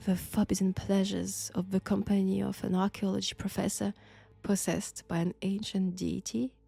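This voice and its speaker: A woman with a French accent playing a sinister, flirtatious archaeology professor possessed by an ancient god, deliberate and teasing in an intimate audio roleplay. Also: medium pitch, flat delivery, vocal fry, mellow, slightly rough, no echo. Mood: seductive, menacing. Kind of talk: slow, intimate roleplay acting.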